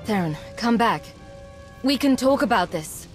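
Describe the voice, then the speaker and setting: An actress playing a steely alliance commander and wounded lover in a dramatic video game, earnest and measured. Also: female voice, fairly high pitch, expressive, soft background music. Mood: heartfelt.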